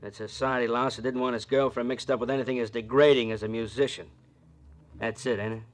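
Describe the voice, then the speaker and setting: A brash American wise guy acted by a man with a medium-pitched voice. He talks in blunt, sarcastic slang, in the manner of 1940s radio crime shows. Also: steady tone, quick pace, lightly acted. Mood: blunt, argumentative. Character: brash, hot-headed tough-guy male associate.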